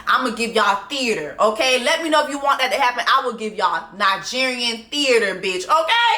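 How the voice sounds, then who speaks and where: A woman's voice: on the high side, expressive, normal speed, pushed and bright. A woman vlogger giving a profane, fired-up, mocking rant about a docuseries. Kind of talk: ranting commentary on a docuseries. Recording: echoey room.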